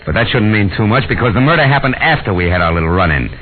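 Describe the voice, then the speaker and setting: An actor with an American accent playing a tough, wisecracking private detective in a 1940s radio drama, clipped and sardonic. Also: male voice, fairly low pitch, lively intonation, fast, narrow, tinny audio, natural voice. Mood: wry, probing. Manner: clipped, sardonic, hard-boiled. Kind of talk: 1940s radio detective drama acting, with first-person narration. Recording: old 1940s radio broadcast, band-limited, music behind.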